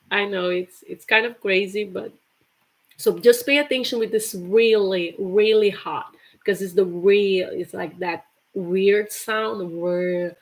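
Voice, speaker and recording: A woman's voice: medium pitch, steady tone, slow. Chatty woman teaching English. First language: Portuguese. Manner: chatty, repeats example phrases with laughs. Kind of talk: live online English lesson.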